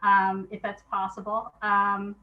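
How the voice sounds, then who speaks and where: A woman's voice: medium pitch, completely flat delivery, slow pace, narrow, tinny audio. A woman answering questions as an interview guest, chatting informally and thinking aloud. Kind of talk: casual chat.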